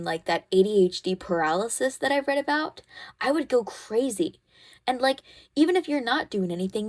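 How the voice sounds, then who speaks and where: A woman playing a caring, protective teenage girl who comforts her best friend in an ASMR roleplay, soothing and gently bossy as she talks. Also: fairly high pitch. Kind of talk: ASMR comfort roleplay, one-sided conversation.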